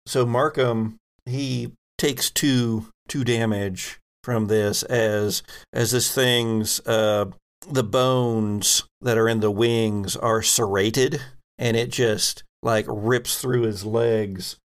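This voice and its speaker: Slow, gravelly man running a tabletop game. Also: mid-range voice, steady tone. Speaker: game master. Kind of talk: narrating a tabletop roleplaying game.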